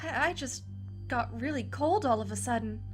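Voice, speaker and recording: A woman's voice: on the high side, moderate pace, soft background music, close to an everyday voice. A woman playing a spooked, snappish young girlfriend who complains dramatically in a cheesy horror audio drama. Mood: spooked, disgusted.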